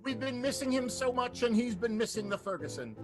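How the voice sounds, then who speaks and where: A man's voice: extremely high pitch, animated, a little fast, music in the background. A man narrating and hosting a comic radio show with a wry, theatrical announcer delivery. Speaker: narrator and host.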